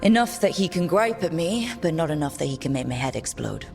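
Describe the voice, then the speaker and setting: An actor voicing a calm, wry elven leader in a fantasy video game, explaining things casually and steadily over music. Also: mid-range voice, fairly quick, vocal fry. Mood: wry, serious. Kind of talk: video game cutscene dialogue.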